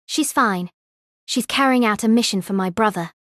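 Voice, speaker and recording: A woman plays a composed young student in an audio drama. Her voice sits fairly high and is recorded dry and close as she explains events. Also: moderate pace, fairly bright tone, no room sound, lightly acted. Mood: calm, reporting. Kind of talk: audio drama character dialogue.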